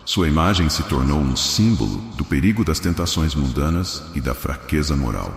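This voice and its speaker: A man's voice: rumbling low, lively intonation, normal speed, vocal fry. A man with a Brazilian accent narrates a scripted religious story in a solemn, dramatic storytelling manner. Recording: echoey voice with long reverb.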